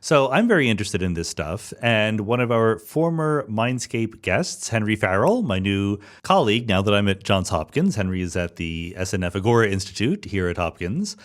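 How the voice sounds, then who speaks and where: A man's voice: fairly low pitch, big pitch swings, normal speed, few pauses. A man speaking as a podcast host in an informal, explanatory, conversational manner. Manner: informal, explanatory, with rhetorical check-in questions. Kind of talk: conversational podcast introduction and interview.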